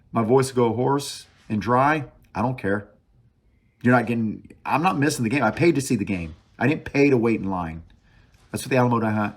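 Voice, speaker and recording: A man hosting a sports talk show alone, casually reviewing a game as an opinionated fan, thinking aloud and hammering short phrases by repeating them. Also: mid-range voice. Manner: emphatic repetition of short phrases. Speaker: sports podcast host.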